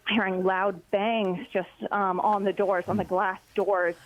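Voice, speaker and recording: A young woman reporter speaking over a dull, lo-fi phone line, her voice in an ordinary middle pitch. She recounts what happened haltingly, with plenty of filler words. It is a live phone-in to a news broadcast. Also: level tone, no room sound. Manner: hesitant, many filler words, recounting events. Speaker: congressional reporter.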